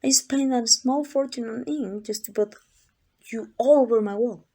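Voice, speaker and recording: Casting: actress as obsessively loving female yandere captor, higher-pitched voice, gushing and coaxing, ASMR roleplay. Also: moderate pace, a little mellow. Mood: sheepish, eager. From Mexico. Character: doting, obsessive yandere woman kidnapper comforting captive.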